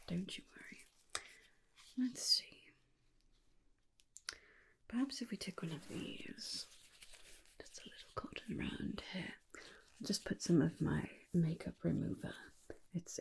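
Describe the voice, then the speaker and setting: A woman playing a gentle, caring female vampire in an ASMR roleplay, whispering softly and soothingly with hesitant reassurances. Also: on the low side. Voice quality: soft breathy whisper. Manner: soothing, hesitant, repeats reassurances, calls listener darling. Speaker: ASMR performer. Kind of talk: ASMR personal-attention roleplay.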